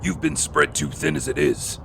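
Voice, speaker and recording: A man playing a grim, self-assured hooded vigilante in an audio drama, terse and stern with a gravelly voice. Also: a little high, normal speed, noisy background. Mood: urgent.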